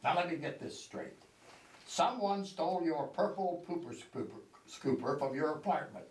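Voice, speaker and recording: A man's voice: fairly high pitch, expressive, normal speed, a little mellow, lightly acted. A wisecracking hard-boiled detective acted by a man delivers clipped, alliterative patter in radio comedy style. He sounds far from the mic in an echoey hall. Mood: questioning, dry. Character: wisecracking male police detective in a radio spoof. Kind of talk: amateur old-time radio comedy acting on stage.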